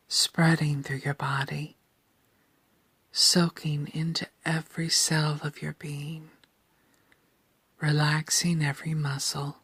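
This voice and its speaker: Low, breathy woman guiding slow meditation. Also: dry recording. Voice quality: soft, breathy, near-whispered. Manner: calm, deliberate, soothing delivery. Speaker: guided meditation narrator. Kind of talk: slow scripted guided meditation narration.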